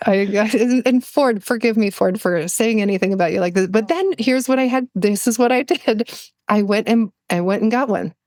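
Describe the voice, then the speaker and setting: A woman's voice: fairly high pitch, moderate pace, darkish tone, no echo. A woman speaking as a podcast guest, telling personal stories in a reflective, conversational and earnest way, often searching for words.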